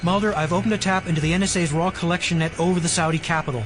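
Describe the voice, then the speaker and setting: An actor playing an earnest techie hacker, urgent and technical, in an audio drama. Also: male voice, on the high side, fairly even intonation, rapid-fire, noisy background, lightly acted. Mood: urgent, concerned.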